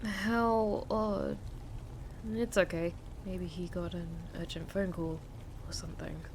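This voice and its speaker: A woman's voice: lowish voice, steady tone, slow, a little hiss or hum. A woman playing a nervous, easily frightened woman driver in an amateur horror audio drama. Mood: uneasy, puzzled.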